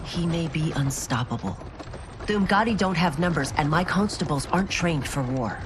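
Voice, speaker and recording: A woman's voice: sits low, animated, moderate pace, background noise. An actress playing a stern, honourable warrior woman in a video game, solemn, resolute and formal, with dramatic delivery. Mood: grave. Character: stern, honourable woman warrior, former royal guard turned constable. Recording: music behind.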